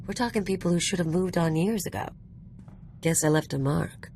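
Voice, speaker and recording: A woman's voice: on the low side, moderate pace, a little mellow, a little hiss or hum, close to an everyday voice. A woman voicing a cocky, flirtatious rogue in a video game, casual and teasing with a bit of swagger. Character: cocky, flirtatious female rogue with a shady past.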